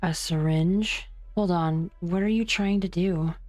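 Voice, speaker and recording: A woman's voice: on the low side, a little slow, darkish tone, natural voice. A woman voicing a precise researcher with a formal, procedural way of talking. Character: precise female lab researcher. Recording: faint music underneath.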